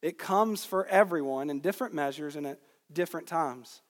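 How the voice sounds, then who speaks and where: A man from Georgia in the United States preaching an expository sermon to a congregation, teaching with deliberate emphasis on key words. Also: on the high side. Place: large reverberant room, speaking to a congregation. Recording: long reverb of a large hall.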